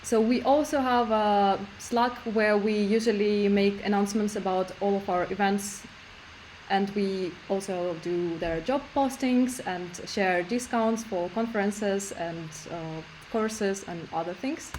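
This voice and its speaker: A woman organizer introducing an online meetup, speaking briskly with halting "uh" fillers. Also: medium pitch, steady tone, a little mellow, slight background noise, poor audio. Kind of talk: event introduction.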